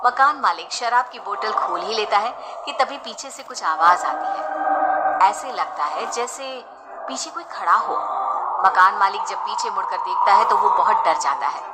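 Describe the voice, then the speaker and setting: A woman's voice: mid-range voice, lively intonation, measured pace, heavy background noise. A woman narrating a scripted horror bedtime story as a storyteller.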